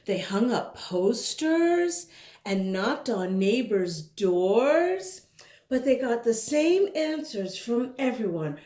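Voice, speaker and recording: A woman host reads aloud for children in an expressive, conversational style. Her voice is highish and takes its time, with lots of melody, recorded in an echoey room. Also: slow pace. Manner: expressive, conversational asides to young viewers. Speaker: storybook reader, host. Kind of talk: animated read-aloud for children. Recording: roomy indoor echo.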